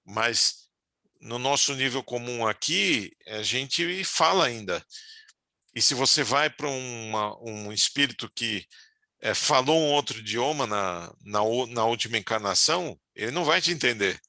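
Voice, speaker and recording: A middle-aged man talks through spiritual ideas in an easygoing, conversational way, often ending his sentences with little tag questions. His voice is very bright and forward. The sound is close and dry, with no room around it. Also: mid-range voice, expressive, measured pace, no room sound. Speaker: spiritual teacher. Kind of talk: casual spiritual talk.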